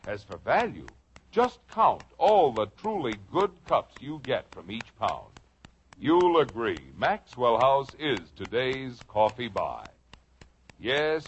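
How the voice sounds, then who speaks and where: A man with an American accent giving a polished, persuasive 1950s radio commercial read. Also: mid-range voice. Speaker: commercial announcer. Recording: muffled old radio, faint noise.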